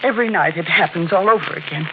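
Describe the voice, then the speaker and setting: A woman's voice: lowish voice, fast talker, lightly acted. An actress playing a terrified, guilt-haunted widow in a 1940s radio thriller, anguished and pleading as she repeats herself. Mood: weary despair. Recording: muffled, noisy 1940s radio recording.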